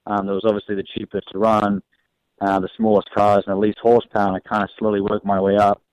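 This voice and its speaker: A man's voice: lowish voice, monotone, fast, a little mellow, clean tone, no room sound. A young man with a partly Australian, partly American accent, speaking as a racing driver on a phone-in radio interview, easygoing and laughing often. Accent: mixed Australian and American. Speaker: race car driver guest. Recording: dry, dull phone-line sound.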